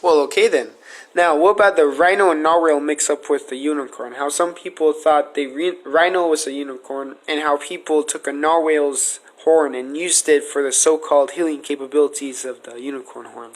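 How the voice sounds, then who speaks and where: A man's voice: fairly high pitch, fairly even intonation, moderate pace. A man asking questions in a casual, conversational interview style. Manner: informal, conversational.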